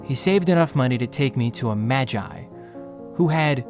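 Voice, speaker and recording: A man's voice: medium pitch, animated, moderate pace, close to an everyday voice. An actor playing a young man in a wheelchair who wistfully narrates his childhood. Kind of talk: audio drama narration. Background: music.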